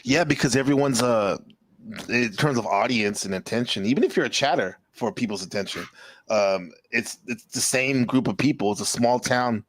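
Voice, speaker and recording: A man's voice: medium pitch, normal speed, no echo. A man chatting casually on a live stream, laid-back and rambling, often saying bro and man. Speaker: streamer, teacher. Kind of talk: casual chat.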